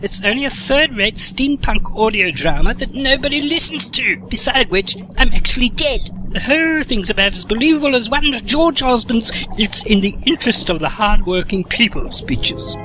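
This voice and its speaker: An actress as a blasé, patronising villain in an audio comedy. The voice is fairly high and dismissive, with clear noise behind it. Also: female voice, lively intonation, normal speed, lightly acted. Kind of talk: comic audio drama acting. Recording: noisy background.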